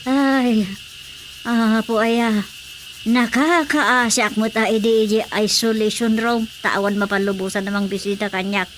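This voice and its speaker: A woman playing an excitable, complaining comic character in a Filipino radio drama, exclamatory and whining as she banters. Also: a little high, a little slow, slight background noise, natural voice.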